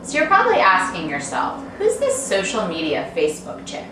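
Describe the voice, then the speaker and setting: A woman delivering a chatty, scripted promotional pitch as an author, with a breezy, playful manner. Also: wildly swooping pitch, fairly quick. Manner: breezy, playful, asks and answers rhetorical questions. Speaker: author promoting her book and talks. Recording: very echoey room, distant mic, faint noise.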